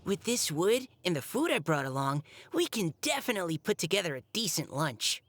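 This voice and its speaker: A woman's voice: fairly low pitch, very animated, fairly quick, a little hiss or hum. A woman voicing a shy, earnest young boy soldier in a video game, with a hesitant, dutiful manner. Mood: modest.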